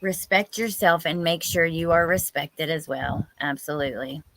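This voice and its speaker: A woman's voice: low voice, moderate pace, a bit bright, no room sound, rough recording. A woman in her thirties hosting a live stream, chatting informally and exclamatorily with viewers and reading out their comments.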